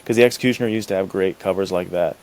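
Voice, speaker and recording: A man with a fairly low voice hosts a podcast in casual conversation. His talk is laid-back and slangy, full of like and dude, as if chatting with friends. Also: very fast, a little mellow. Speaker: podcast host.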